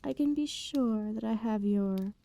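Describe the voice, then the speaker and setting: A woman performs a highborn female vampire in an intimate ASMR roleplay. Her voice is fairly high yet very dark and soft, moving at a languid, slow pace. She sounds condescendingly tender and possessive, with teasing menace under the hush. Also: vocal fry, dry recording. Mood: coaxing, menacing. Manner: slow, hushed, languid, veiled threats delivered sweetly.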